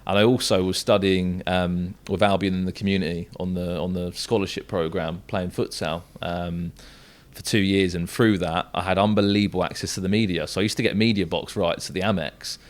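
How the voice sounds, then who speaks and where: A young man with a low-pitched voice and a southern English accent. He is an esports football commentator, chatting casually as a guest on a podcast. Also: steady tone, a little fast, few pauses. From south coast of England. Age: young adult.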